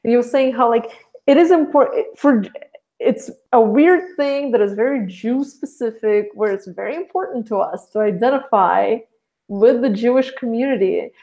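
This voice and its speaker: A woman's voice: on the high side, moderate pace, mellow, low-quality recording. A young woman from Russia, a podcast guest talking casually and rambling with many restarts.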